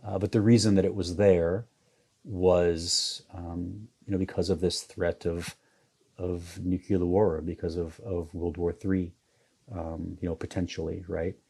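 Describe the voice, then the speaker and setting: A man's voice: sits low, flat delivery, unhurried, creaky, dark tone, husky. A man in his forties from the US Midwest, talking reflectively in an interview with many fillers and restarts. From Iowa, US Midwest. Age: 40s. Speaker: photographer guest.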